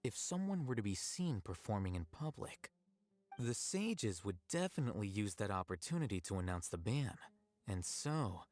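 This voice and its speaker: Low-voiced, composed scholar-strategist. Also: male voice, no echo. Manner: measured, coolly explanatory. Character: composed, calculating young male scholar and strategist. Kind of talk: video game voice acting.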